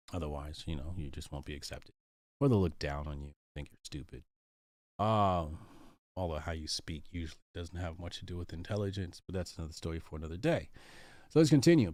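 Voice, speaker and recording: A man's voice: sits low, no echo, studio quality. An American man reading a book aloud and commenting on it, stopping often for ums, uhs and restarts. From United States.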